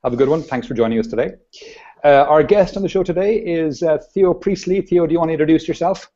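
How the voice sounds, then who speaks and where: A man hosting a casual video call show, talking in an easygoing, halting way with many restarts. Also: a little high, mellow. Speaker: host. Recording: dull lo-fi video call audio.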